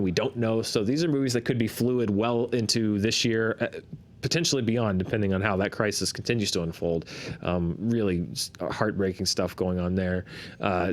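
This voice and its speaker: A man hosting a box office show, mixing chart commentary with casual banter. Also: lowish voice.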